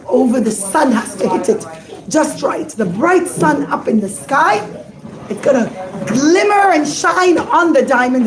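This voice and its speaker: A woman's voice: sits high, reverberant, poor audio. A woman voicing a clever, devout male rabbi who puts on theatrical wails. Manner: theatrical wailing. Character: clever pious rabbi, man, feigning grief.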